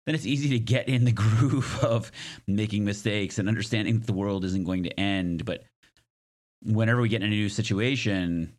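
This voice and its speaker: Male solo podcaster, low-pitched and smoky with slight hoarseness; conversational, reflective, self-deprecating. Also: normal speed. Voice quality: raspy, smoky. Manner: self-deprecating, joking asides. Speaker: podcast host.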